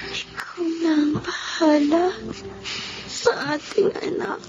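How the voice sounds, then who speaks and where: A woman playing a weak, dying young wife and mother in a Filipino radio drama, halting, breathy and tearful. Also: sits high, heavily acted. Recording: muffled audio under loud music.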